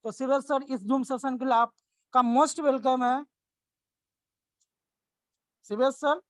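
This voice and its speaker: A man's voice: very high-pitched, fairly even intonation, slow pace, a bit bright, dry recording. A man from Bihar, India, hosting an online meeting, speaking in long winding sentences full of praise for his guest. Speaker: meeting host and promoter. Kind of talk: formal welcome speech on a video call. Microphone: video call microphone.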